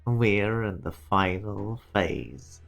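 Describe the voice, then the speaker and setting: A man acts out a calculating director in a game drama, low-pitched and unhurried. He speaks formally and coolly, measuring each statement. Music plays faintly behind him. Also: animated, vocal fry, fairly bright tone, full character voice. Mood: businesslike, cool. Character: cool, calculating male corporate director plotting weapons technology. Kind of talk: amateur fan dub game drama acting.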